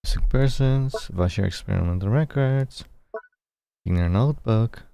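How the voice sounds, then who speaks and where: A man's voice: medium pitch, moderate pace, vocal fry, mellow, smooth, dry recording. A man doing casual let's play commentary, hesitant and muttering to himself. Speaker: game streamer.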